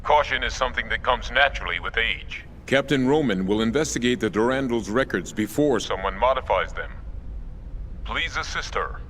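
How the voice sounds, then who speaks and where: An actor playing a composed older government statesman in a video game, with calm, courteous and formal speech. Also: medium pitch, moderate pace, slight background noise, close to an everyday voice.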